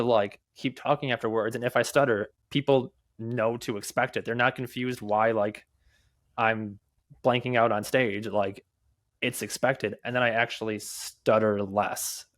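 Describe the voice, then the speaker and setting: A young man who stutters, answering interview questions candidly as a podcast guest, with halting restarts, repeated words and many fillers. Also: mid-range voice, fairly even intonation, fairly bright tone. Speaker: podcast guest, mobile developer and conference speaker.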